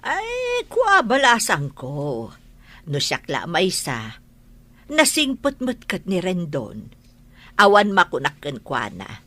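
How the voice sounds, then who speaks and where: Motherly Ilocano aunt, middle-aged, forceful tone, swooping pitch, exclamatory, radio drama. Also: slow, dry recording, exaggerated voice. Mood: advising. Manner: animated, exclamatory. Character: caring, nosy Ilocano aunt, motherly adviser.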